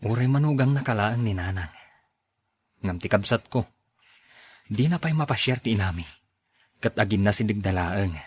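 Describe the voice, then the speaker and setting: A man playing a dutiful, kind-hearted grown son in a radio drama, earnest and coaxing in a husky voice. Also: fairly low pitch, expressive, normal speed, pauses often. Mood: grateful, burdened. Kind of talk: Ilocano radio drama acting.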